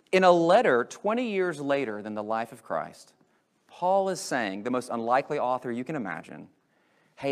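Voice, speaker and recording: A man with an American accent preaching an Easter sermon as a pastor, emphatic and full of rhetorical questions, swinging between loud and hushed delivery. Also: medium pitch. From United States.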